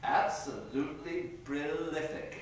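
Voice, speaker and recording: A man's voice: on the high side, a little slow, lightly acted. A man playing a helpless, adoring husband in a spoof old-time radio commercial. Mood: admiring. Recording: very echoey, distant, faint background noise.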